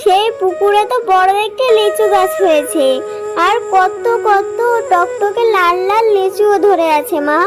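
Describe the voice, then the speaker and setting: A woman's voice: extremely high pitch, unhurried. A woman voicing a sweet, wistful little fox girl in a children's cartoon, earnest and childlike. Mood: amazed. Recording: loud children's music underneath.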